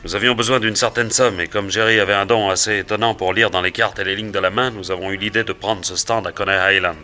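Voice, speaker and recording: An actor playing an excitable, grieving fairground barker in a French radio detective serial, theatrical and halting. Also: male voice, on the low side, soft background music. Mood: sorrowful. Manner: theatrical, halting and exclamatory when upset.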